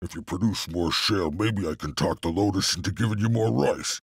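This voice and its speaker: A man's voice: low voice, brisk, vocal fry, slightly rough, no echo, studio quality, full character voice. A man voicing a cruel, bullying taskmaster in a video game, sneering and domineering as he gives orders. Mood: threatening. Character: cruel, bullying serpent-clan slave taskmaster. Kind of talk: theatrical video game villain acting.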